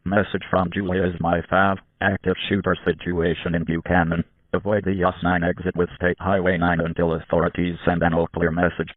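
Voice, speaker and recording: A robotic man's voice reads an automated emergency alert. It is deep and flat, coming through a narrow, phone-like radio sound. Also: fairly quick, hardly any pauses, a little hiss or hum.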